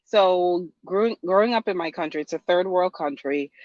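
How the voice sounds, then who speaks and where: A woman with a fairly low voice answers interview questions hesitantly, pausing often with ums. The audio is dry and dull, a bit lo-fi. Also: no echo. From Guyana. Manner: hesitant, halting, many ums. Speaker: guest attorney.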